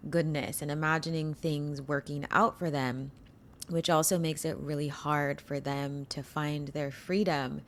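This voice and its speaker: A low-pitched woman with a hoarse, froggy voice hosts her own spiritual coaching podcast. She talks slowly and chattily, with real enthusiasm and the odd casual swear word. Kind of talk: casual solo podcast talk.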